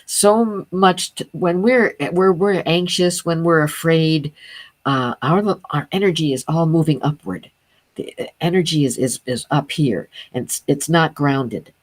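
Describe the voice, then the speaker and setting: Woman, low voice, sound healing teacher as guest, earnest explainer, ums and restarts, dry close sound. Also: dry recording. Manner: earnest, steady explaining with frequent fillers and restarts.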